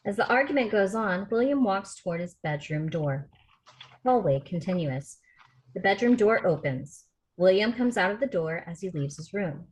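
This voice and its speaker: A woman's voice: medium pitch, lively intonation, normal speed, rough recording. A woman reading stage directions as the narrator at a film script table read. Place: remote video call rehearsal.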